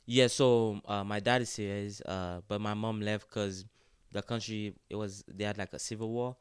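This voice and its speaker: A young man from Haiti, a student-athlete guest, speaking in a relaxed, casual way and often saying "like" and "yes, sir" in an interview. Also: on the low side, normal speed, clear, resonant tone, no room sound, clean studio sound. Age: young adult.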